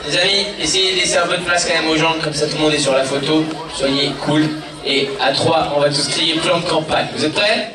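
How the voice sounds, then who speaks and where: A man's voice: fairly high pitch, steady tone, a little fast, heavy background noise. A man, a comedian, presenting a film and joking and teasing with the audience at a premiere. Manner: teasing, playful, addresses the crowd directly. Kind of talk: joking live address to a crowd. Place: large cinema auditorium. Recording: echoey hall, distant, loud crowd.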